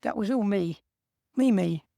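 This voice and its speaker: A man from England with an English accent, co-hosting a casual chat and giving steady, earnest encouragement. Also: high-pitched, measured pace, creaky, no echo, clean studio sound. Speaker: co-host.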